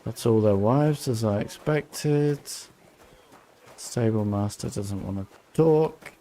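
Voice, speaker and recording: Mellow, unhurried man streaming a game. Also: fairly low pitch, slight background noise. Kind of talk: casual playthrough commentary.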